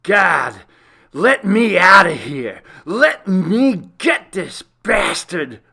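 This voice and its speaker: A man's voice: sits high, big pitch swings, drawn-out words, gravelly, bright, forceful tone, slightly rough, voice pushed up, full character voice. Man acting a roaring, desperate prisoner. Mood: furious, desperate. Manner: roaring, frantic. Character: desperate, enraged male prisoner crying out. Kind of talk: dramatic horror story reading.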